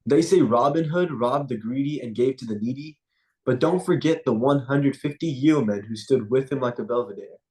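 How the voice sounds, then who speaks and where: A young man, a student poet whose first language is Punjabi, reciting a spoken-word poem in a measured, rhythmic way over a video call, then chatting casually. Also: mid-range voice, a little mellow, rough recording.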